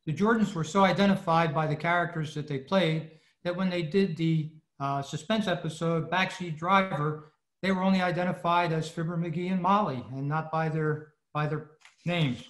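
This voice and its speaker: A man's voice: a little high, fairly even intonation, normal speed, fairly bright tone. An older man giving an informal prepared presentation over a video call from indoors. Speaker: presenter at a club meeting. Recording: roomy, echoing video call audio.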